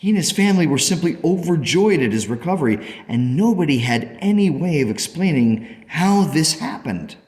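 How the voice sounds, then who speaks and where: A man playing a grateful, thoughtful father in a radio play, speaking in a measured, sincere and reflective way. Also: on the high side, very animated, darkish tone. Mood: wondering. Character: grateful, thoughtful father telling his strange story. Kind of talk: radio play dialogue and first-person narration. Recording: long hall-like reverb.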